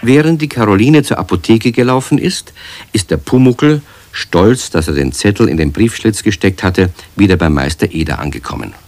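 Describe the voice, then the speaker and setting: An actor as the narrator of a children's radio play, telling the story in a calm, gently amused way. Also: male voice, on the low side, normal speed, no echo. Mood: matter-of-fact.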